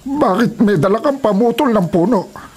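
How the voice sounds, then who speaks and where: A man's voice: high-pitched, expressive, normal speed, a little mellow. A man playing a principled, peace-loving old forest elder in a Filipino radio drama, earnest and firm, with a Filipino accent. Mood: firm confrontation.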